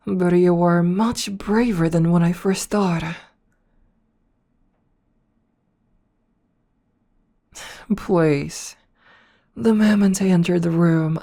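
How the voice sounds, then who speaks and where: A woman voicing a haughty, seductive noble vampire princess in an ASMR roleplay, teasing and condescending with a hushed, breathy delivery. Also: fairly low pitch. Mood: mocking. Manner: teasing, condescending, softly purring.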